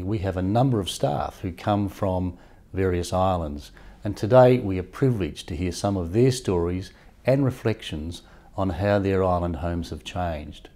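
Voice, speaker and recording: Low, mellow-voiced man giving a formal address. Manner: measured, formal delivery. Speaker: company executive. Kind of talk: prepared corporate address.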